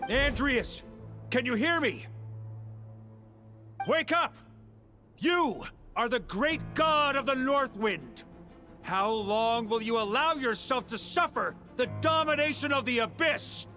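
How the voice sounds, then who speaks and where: A resolute knight hero, earnest and defiant toward his foe. His voice swings widely in pitch, climbing high when he calls out with passion. A lead figure from a story-driven video game. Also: lively intonation, slow pace, pushed and bright, faint music behind, heavily acted. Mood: pleading.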